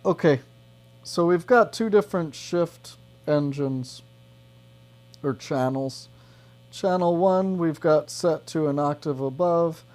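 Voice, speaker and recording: A man's voice: fairly high pitch, takes it slowly, a little mellow. A man explaining a guitar effects pedal step by step in an informal demo, often checking in with the listener. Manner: informal, checks in with "right" and "okay".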